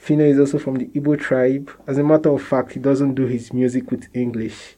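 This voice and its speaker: A young man with a Nigerian accent, answering interview questions in a relaxed way, often restarting phrases and laughing softly. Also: mid-range voice, brisk. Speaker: interviewee, musician.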